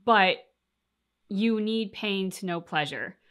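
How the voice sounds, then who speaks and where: A woman's voice: medium pitch, slow pace, fairly bright tone, smooth. A woman co-hosting a reaction show, talking casually and reflectively about a game's story, explaining her thoughts at length. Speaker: reaction channel co-host. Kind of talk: casual discussion of a video game story.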